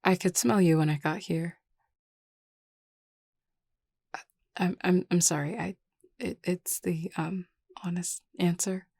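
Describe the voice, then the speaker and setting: Woman playing a hesitant, soft-toned shy vampire woman. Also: fairly low pitch, steady tone, normal speed, creaky, no echo, studio quality. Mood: flustered. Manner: hesitant, stammering, polite. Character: shy, awkward, apologetic young vampire leader woman. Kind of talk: scripted audio roleplay acting.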